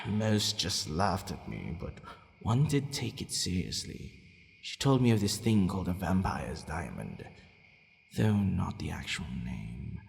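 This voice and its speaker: A man playing a centuries-old, courteous but possessive vampire in an ASMR roleplay, deliberate and hesitant, sometimes murmuring almost to a whisper. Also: low-pitched, creaky, full character voice. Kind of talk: ASMR roleplay addressed to the listener. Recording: long reverberant hall echo.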